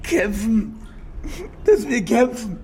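A man's voice: very high voice, big pitch swings, takes it slowly, a little mellow, a little hiss or hum, heavily acted. An actor playing a desperate, defiant captive war reporter in a radio crime drama, his delivery strained.

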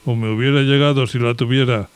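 A man's voice: medium pitch, fairly even intonation, quick pace, smooth, lightly acted. A man with a Spanish accent from Spain voicing a wry, cynical, cheeky stray dog in a radio comedy-drama. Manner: sardonic, cheeky, colloquial. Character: wry, cynical stray dog who talks, worldly freeloader.

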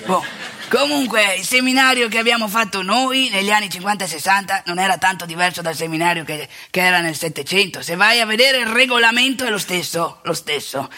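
A woman playing an outspoken, rebellious elderly male priest in a colloquial theatrical monologue, wry and impassioned, with a husky, gravelly voice. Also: medium pitch, bright, forceful tone. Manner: colloquial, confiding, swinging into emphatic indignation.

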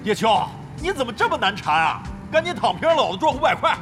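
An actor playing a crude, cocky older veteran gamer in an audio drama, brash, taunting and long-winded. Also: male voice, very high voice, very animated, fairly quick. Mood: indignant, suspicious. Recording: long reverb, music behind.

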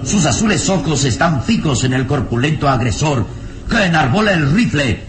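High-voiced man narrating a radio serial. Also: expressive, normal speed. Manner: solemn, suspenseful, emphatic. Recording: roomy, noisy old radio drama recording.

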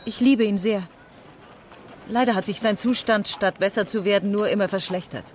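A woman's voice: medium pitch, moderate pace, a little hiss or hum, lightly acted. An actress playing a composed aristocratic Frenchwoman, a devoted daughter, speaking formally and with measure in a German radio crime drama. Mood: grave, controlled concern.

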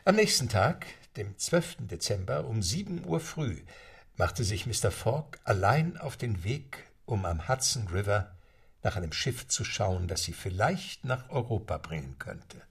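A man narrating a radio play in a measured storytelling delivery. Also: fairly low pitch, big pitch swings, creaky, husky. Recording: narration over music.

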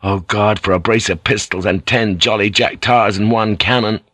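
A man's voice: fairly low pitch, fairly even intonation, moderate pace, fairly bright tone, husky, no echo. A man voicing a proud, beleaguered English seaman in an audiobook, defiant, terse and intense. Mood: desperate.

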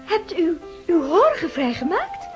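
An actress playing an inquisitive young countess in a Dutch radio drama. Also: female voice, very high voice, huge pitch swings, measured pace, faint music behind, heavily acted. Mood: curious, surprised.

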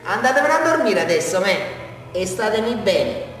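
Unhinged confessing murderer, southern Italian accent, radio drama, rambling and insistent, widely swinging pitch, far from the mic in a reverberant hall. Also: male voice, big pitch swings, normal speed, fairly bright tone. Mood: dreamy, insistent. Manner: rambling, insistent, taunting, repeats himself. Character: unhinged small-town Southern murderer confessing to a carabinieri brigadier. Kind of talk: theatrical radio drama monologue. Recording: echoey hall from far off, faint music.

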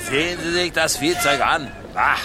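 An actor playing a gruff, domineering ship's captain in a radio drama, speaking in a curt, barking and contemptuous way. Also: male voice, a little high, moderate pace, loud music behind the voice, natural voice. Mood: scornful. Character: gruff, coarse, domineering male steamship captain.

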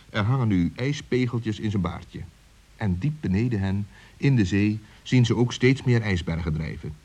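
A man narrating a scripted children's story as storyteller, expressively, with dramatic emphasis and drawn-out words. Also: medium pitch, dark tone, husky, muffled recording.

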